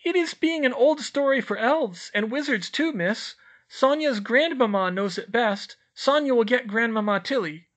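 A man voicing a timid little female house-elf who squeaks and speaks in broken grammar. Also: lively intonation, moderate pace, fairly bright tone. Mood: eager, anxious.

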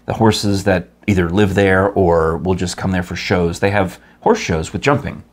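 A man's voice: low voice, moderate pace. A man in his fifties hosting a casual studio chat, joking around and reading sponsor details. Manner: jokey, rambling, playful. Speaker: host. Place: small studio set at a table.

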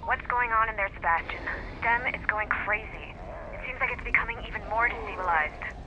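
A woman's voice: mid-range voice, fairly quick. An actress playing a guarded female handler who speaks over a radio link. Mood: urgent. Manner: pressing, probing. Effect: radio transmission. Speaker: remote contact. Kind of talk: video game voice acting. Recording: muffled, hissy radio line.

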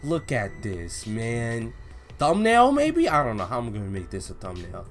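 A young man hosts a casual reaction in an animated voice that swings widely in pitch. His talk is slangy and full of gushing enthusiasm. Soft music plays behind him in a home room. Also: normal speed. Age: young adult. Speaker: reaction video host. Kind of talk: excited casual reaction commentary, reading manga aloud. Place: home room with bookshelf, indoors.

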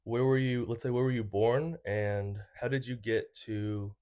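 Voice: mid-range voice, fairly even intonation, unhurried, dark tone. A podcast host opening the show and interviewing a guest, encouraging with frequent small responses. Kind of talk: casual interview.

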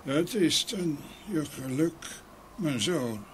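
Fairy-tale role: ghostly old peasant father, high-pitched, solemn, halting, clearly noisy ambience. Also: animated. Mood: solemn, stern. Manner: slow, halting, drawn-out pronouncements. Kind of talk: audio fairy-tale acting. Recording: noisy ambient sound behind voice.

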